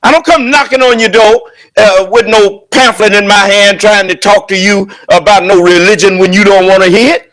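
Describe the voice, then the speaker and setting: A man with a raised, high-pitched voice preaches a sermon to his congregation. He speaks loud and emphatic and keeps firing rhetorical questions at his listeners. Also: fairly even intonation, moderate pace, hardly any pauses. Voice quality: loud, booming voice. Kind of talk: sermon at a prayer service.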